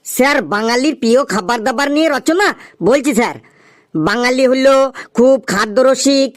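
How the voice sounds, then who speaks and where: A man plays an eager cartoon schoolboy with a very high voice. He is cheeky and earnest, reciting like a pupil showing off an essay in class. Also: moderate pace. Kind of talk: comic cartoon dialogue.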